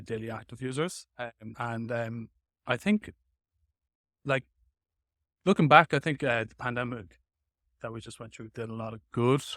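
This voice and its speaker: A man hosting a casual tech podcast chat, with hesitant, halting phrasing. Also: mid-range voice, a bit bright, dry recording, clean studio sound. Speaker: podcast host.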